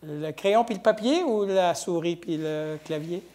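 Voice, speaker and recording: A woman hosting an interview show, firing off quick either-or questions in a brisk, playful way. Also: fairly low pitch, mellow. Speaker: host.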